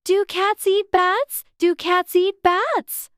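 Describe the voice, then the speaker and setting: Chatty little girl, super high voice, prim and dreamy, musing aloud, storybook drama. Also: slow, a bit bright, no echo, clean studio sound, full character voice. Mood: dreamy, earnest. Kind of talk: dramatized audiobook character acting.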